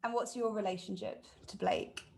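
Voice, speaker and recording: A woman's voice: mid-range voice, huge pitch swings, moderate pace, gravelly, darkish tone. A woman hosting a casual discussion, giving short, encouraging reactions and prompting questions. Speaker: event host, musician.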